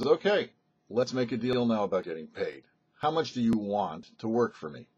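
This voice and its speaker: A man, a rabbi, presenting religious commentary on Torah in a deliberate, explanatory way with emphatic phrasing. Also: on the low side, slightly rough, highs cut off. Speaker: rabbi presenting a weekly Torah portion show. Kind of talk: religious teaching, scripted-sounding Torah commentary.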